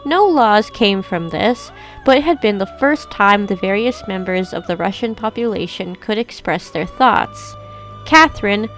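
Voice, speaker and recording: A woman of middle pitch narrates a history video in a calm, measured way, like someone reading a prepared script. Her voice sounds a touch off because she is still getting over a cold. Soft music plays quietly underneath. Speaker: host of a history video channel.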